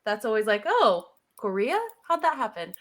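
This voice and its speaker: A woman's voice: a little high, moderate pace. An American woman speaking as a podcast guest, telling stories casually with emphatic delivery and joking asides. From Seattle area, United States. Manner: animated, emphatic, jokey asides with acted-out quotes. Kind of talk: casual conversational storytelling.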